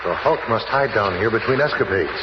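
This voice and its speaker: An actor playing an earnest, plucky young hero with urgent, dramatic delivery in a 1970s radio drama. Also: male voice, lowish voice, lively intonation, a little fast, close to an everyday voice. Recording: echoey, muffled, noisy radio recording.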